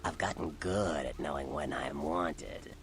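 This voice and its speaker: Very deep, gravelly vampire informant. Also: male voice, a little slow, fairly bright tone, a little hiss or hum, heavily acted. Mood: sardonic. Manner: sardonic, streetwise, sneering. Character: seedy, cynical, irritable male vampire informant. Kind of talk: video game voice acting.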